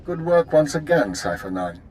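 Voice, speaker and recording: A man's voice: on the high side, big pitch swings, moderate pace, darkish tone, a little hiss or hum, low-quality recording. A man playing a terse, businesslike intelligence superior in a video game, speaking formally and with controlled authority. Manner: formal, commanding, clipped.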